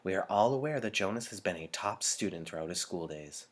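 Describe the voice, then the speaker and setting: Storybook chief elder, a grave, authoritative woman played by a man, low voice, formal measured address. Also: animated. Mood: solemn, formal. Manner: formal, deliberate, ceremonial. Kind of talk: audiobook character dialogue.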